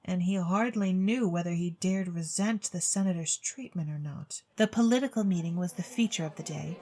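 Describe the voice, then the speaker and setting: A woman narrating a scripted storybook tale in an expressive storytelling manner. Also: medium pitch.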